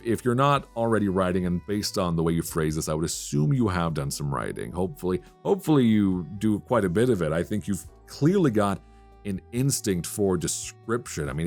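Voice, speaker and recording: A man hosting a solo podcast in a casual, conversational way, speaking with enthusiasm and emphasis. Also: low-pitched. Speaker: podcast host.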